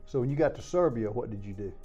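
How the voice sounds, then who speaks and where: Mellow-voiced man asking short questions. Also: mid-range voice, animated, fast, muffled recording, faint music behind. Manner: short, probing follow-up questions. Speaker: congressman hosting the interview. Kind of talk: interview questions.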